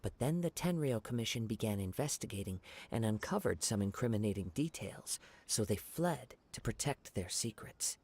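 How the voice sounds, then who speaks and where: Calm, measured young wandering samurai. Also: male voice, lowish voice, husky. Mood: pondering. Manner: measured, reflective, formally worded. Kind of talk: scripted video game voice acting. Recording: game dialogue over background music.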